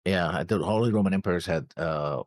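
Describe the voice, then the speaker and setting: A man's voice: deep voice, no room sound. A man co-hosting a casual discussion, agreeing and then carefully qualifying his points. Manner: hedging, conceding, measured qualifications. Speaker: reaction show co-host.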